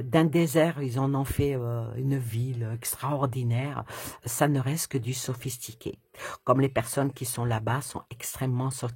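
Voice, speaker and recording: A woman with a very husky, raspy voice talks her way through a tarot reading. She chats casually and rambles, joking as she goes. The sound is dry and close, with no room around her. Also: a bass voice, darkish tone, no room sound. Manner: chatty and rambling, with frequent hesitations and laughs. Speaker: tarot reader.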